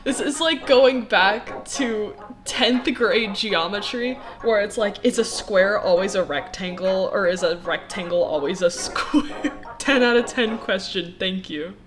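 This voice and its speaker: A woman's voice: mid-range voice, unhurried. A 21-year-old woman and animation student answering fan questions in a casual Q&A vlog. She is blunt and opinionated, laughs often and swears. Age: young adult, 21. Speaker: animation student and YouTube artist. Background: video game music.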